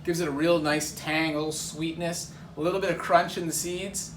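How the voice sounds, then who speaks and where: A man's voice: fairly high pitch, fairly even intonation, a little fast. Male guest presenter, instructive and informal, recorded with roomy echo and light noise. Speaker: guest presenting tropical fruits. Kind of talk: casual explaining demonstration.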